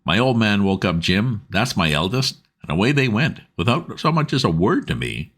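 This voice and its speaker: A man's voice: fairly low pitch, big pitch swings, normal speed, fairly bright tone, voice pushed up. A man voicing a stout, red-faced, working-class boatman's wife who rambles anxiously in homely dialect.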